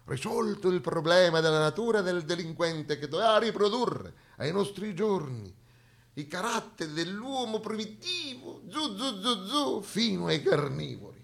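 A man's voice: high-pitched, sing-song, normal speed, gravelly, fairly bright tone, voice pushed up, exaggerated voice. A man playing a pompous nineteenth-century scientist on stage, declaiming grandly and exalted by his own discovery. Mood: grandiose exaltation. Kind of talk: mock-solemn stage quotation.

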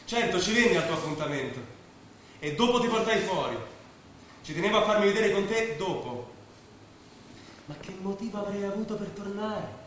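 An actor playing a proud but uneasy penniless young athlete in an Italian stage play, protesting earnestly. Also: male voice, high-pitched, lively intonation, normal speed, pauses often, fairly bright tone. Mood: uneasy, firm. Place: echoey theatre stage. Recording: echoey theatre recording, distant mic, faint noise.

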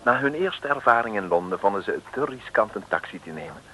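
A man's voice: on the low side, animated, quick pace, a bit bright. A man narrating a comic radio serial from the 1960s in a wry storytelling manner. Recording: noisy old radio recording.

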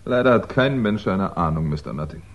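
An actor playing an uneasy, wry crime writer under suspicion in a 1960s radio drama, speaking in a reflective, hesitant way. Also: male voice, low-pitched, lively intonation, fairly quick, slight background noise. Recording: dull, band-limited 1960s radio recording.

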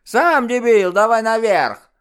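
Two rude, bratty twin boys yell mocking insults in squeaky, high voices. A man puts them on in an audiobook. They are loud and cheeky. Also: expressive, slow, clear, resonant tone, full character voice. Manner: yelling, mocking.

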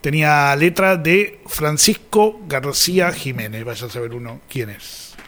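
A man's voice: fairly high pitch, measured pace, fairly bright tone. A man with a Buenos Aires Argentine accent hosting a casual radio chat, informal and given to asides. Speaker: radio host. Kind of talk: casual radio chat, reading listener messages.

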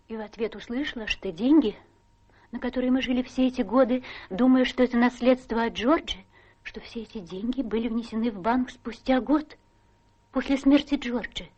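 An actress playing a gentle, dignified widow and mother in a radio drama, earnest and formally polite, on a slightly dull recording. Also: a little high, natural voice. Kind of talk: Russian radio drama stage acting.

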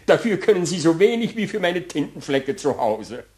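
A nervous gentleman customer in a radio play. His voice sits high and swings widely in pitch. He is fussy and effusively polite, repeating himself. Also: sing-song, normal speed. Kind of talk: German radio play acting.

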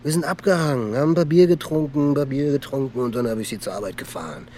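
A man's voice: mid-range voice, fast talker, mellow, slight background noise, close to an everyday voice. An actor playing a twitchy, wheedling young small-time crook in a crime radio play, rambling in slang and repeating himself. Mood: evasive, slangy. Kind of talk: naturalistic radio crime drama acting.

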